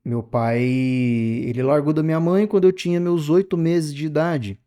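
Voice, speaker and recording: A man's voice: on the high side, moderate pace, mellow. A man in his thirties from Brazil, talking in a casual, reflective monologue to his viewers, rambling and correcting himself as he goes. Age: 30s. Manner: rambling, self-correcting, addresses viewers directly. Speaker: opinion channel host.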